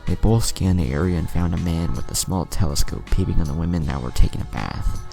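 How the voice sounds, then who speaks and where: Very deep, gravelly male narrator. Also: a little fast, dark tone. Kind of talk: scripted fan-fiction story narration. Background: music under the voice.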